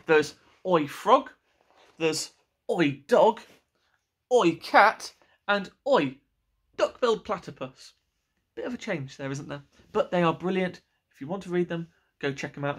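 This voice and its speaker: A man's voice: a little high, a bit bright, breathy edge. A young man, reading a picture book and chatting to children as a school storyteller.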